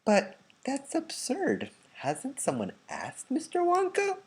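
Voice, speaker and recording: A curious young boy from a children's storybook, acted out by a man. His voice is soft, breathy and raised high, with lively swings as he eagerly presses his questions. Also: takes it slowly, full character voice. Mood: puzzled, doubting. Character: curious, insistent young grandson.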